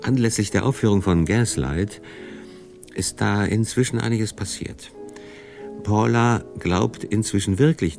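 Deep-voiced German male documentary narrator. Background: faint music.

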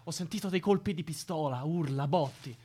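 Excitable young male photographer, slightly high-pitched, vivid storytelling for radio drama. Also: fairly quick, breathy edge. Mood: excited recounting. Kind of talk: naturalistic radio drama acting.

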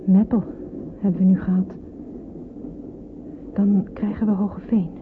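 Through a tinny, noisy old radio recording comes a young woman played by an actress, anxious and wary. She speaks in a hushed, deliberate radio-drama manner over a train-like rumble, as someone afraid of where she is being taken. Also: close to an everyday voice. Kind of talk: radio play acting with hushed inner monologue.

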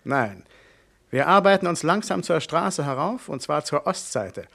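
Ruthless gang boss, fairly high voice, cold sneer, radio crime comedy villain. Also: male voice, big pitch swings, a little slow, gravelly, a bit bright, full character voice. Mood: ruthless, commanding. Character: cold-hearted male gang leader, sneering killer. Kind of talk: German radio crime satire acting.

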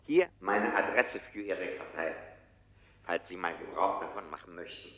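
A man's voice: low-pitched, moderate pace, fairly bright tone, reverberant. An older man acting a courteous old retired literature professor in a 1970s German radio drama. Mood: wry, wistful.

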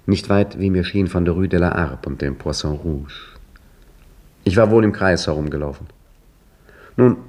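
A husky, wry caretaker narrator. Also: male voice, low-pitched, darkish tone. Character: Hakim, middle-aged male embassy caretaker narrating. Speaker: narrator and lead character. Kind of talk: 1950s German radio drama acting. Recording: vintage 1950s radio play recording.

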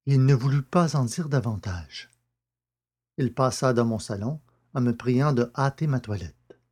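An actor playing a curious gentleman friend who narrates a French detective story and its dialogue. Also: medium pitch, unhurried, natural voice. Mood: measured. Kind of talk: scripted literary narration with dramatised dialogue.

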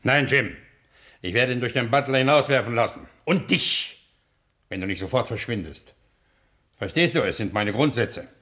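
An actor playing a gruff would-be gentleman gangster in a German radio play, with a husky, gravelly voice and a curt, commanding manner. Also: medium pitch, lively intonation, normal speed, pauses often. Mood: cold, dismissive.

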